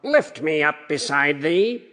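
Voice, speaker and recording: Man putting on a high, insistent storybook frog. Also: expressive, slow, pushed and bright, exaggerated voice. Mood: demanding. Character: pleading, insistent frog, an enchanted creature. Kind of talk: storybook character voice. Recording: long hall-like reverb.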